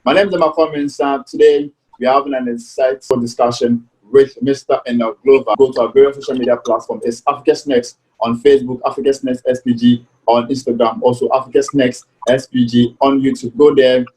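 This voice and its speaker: A man hosting an interview show, speaking in a formal, deliberate announcing manner. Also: medium pitch, steady tone, a little mellow, poor audio. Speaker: show host.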